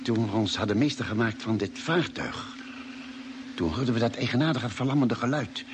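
A man's voice: mid-range voice, expressive, normal speed, lightly acted. A man acting an earnest, inquiring ship's doctor in a vintage Dutch radio play, on a noisy old recording. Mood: earnest, attentive.

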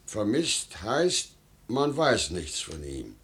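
An actor playing a simple, naive fishing-town man in a radio play, speaking deliberately and reassuringly. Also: lowish voice, big pitch swings, a bit bright, exaggerated voice. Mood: consoling, earnest.

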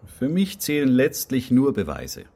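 An actor playing a sceptical official in a radio drama, formal and dismissive in manner. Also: male voice, medium pitch, wildly swooping pitch, measured pace, gravelly, warm tone, full character voice. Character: sceptical male official who trusts only evidence.

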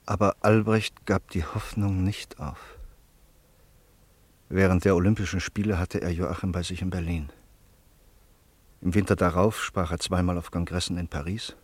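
A man narrating a radio play in a sober, steady manner. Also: low-pitched, normal speed, pauses often, husky, no room sound. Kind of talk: scripted radio drama narration.

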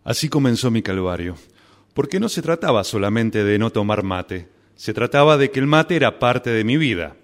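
Radio drama: male actor as obsessive, suffering Argentine everyman, melodramatic and stammering, very animated delivery, mid-range pitch. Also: normal speed, natural voice. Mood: rueful storytelling. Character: anguished, obsessive man deprived of his beloved mate. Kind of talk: radio drama acting with first-person narration.

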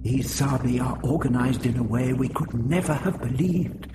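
A man's voice: medium pitch, normal speed, over music, pitched above the performer's own voice, lightly acted. A man voicing resolute winged alien leader Vrestin. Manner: urgent, commanding.

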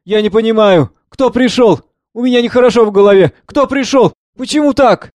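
A man's voice: very high voice, brisk, darkish tone, dry recording, voice pushed up. A man voicing an anguished, bewildered mother in a stage drama, pleading and repeating herself frantically. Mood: bewildered, frantic. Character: anguished grieving mother, losing her grip on reality, pleading.

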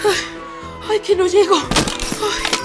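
Woman doing a flustered feisty protester, really high swooping voice, radio play with music. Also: fairly even intonation, very slow, heavily acted. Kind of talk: radio drama acting.

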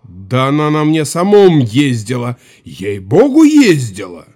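An actor playing a tipsy, talkative and superstitious Cossack servant, insistent and emphatic, in an audio drama. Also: male voice, mid-range voice, huge pitch swings, unhurried, darkish tone. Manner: swearing emphatically, garrulous. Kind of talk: Russian audio drama dialogue.

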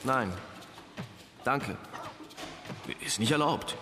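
An actor playing a guarded, cheeky secondary-school pupil in a radio crime play, terse and slangy. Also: male voice, medium pitch, very slow, long reverb tail, music in the background. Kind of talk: naturalistic German radio play dialogue.

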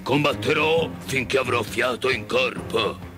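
A man voicing a big, gentle cowardly lion in a storybook, with a slow, sighing, mournful drawl. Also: mid-range voice, music in the background, natural voice. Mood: resolute, approving.

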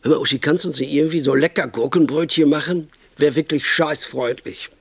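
An actor playing a pompous, crude and impatient film producer in a radio comedy, pitching movie ideas in a bossy, rambling, self-enthused way. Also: male voice, fairly even intonation, fairly quick, vocal fry, husky, no echo. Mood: irritated, bossy. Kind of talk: radio comedy monologue, improvised-sounding pitch.

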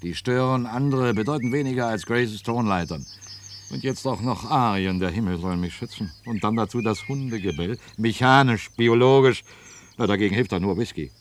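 An actor playing a sardonic, whisky-fond grumbler of a neighbor in a German radio play, mocking and ironic. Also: male voice, fairly low pitch, normal speed, lightly acted. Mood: grumbling. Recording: noisy archival radio play recording.

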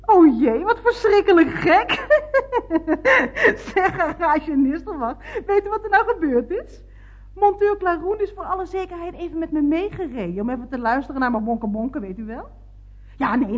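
Giggly, naive office woman for radio comedy; very lively intonation, muffled old recording. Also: very high voice. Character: scatterbrained, cheerful, chatty woman at a contractor's office. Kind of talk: radio comedy serial acting. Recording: dull band-limited old radio recording.

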